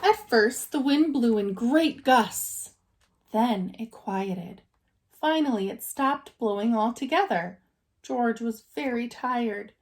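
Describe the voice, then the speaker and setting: A woman reading a children's story aloud like a teacher, expressive and dramatizing for young listeners. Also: on the high side, slow.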